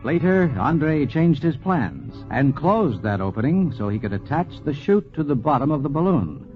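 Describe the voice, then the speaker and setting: A man narrating a scripted 1940s radio documentary with dramatic, deliberate emphasis. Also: fairly high pitch, very animated, soft background music. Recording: dull 1940s radio recording.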